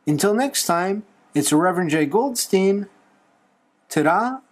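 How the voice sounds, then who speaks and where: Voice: mid-range voice, lively intonation, measured pace. A tutorial host talking through a drawing step by step in a playful, encouraging manner. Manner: playful, light humour, encouraging.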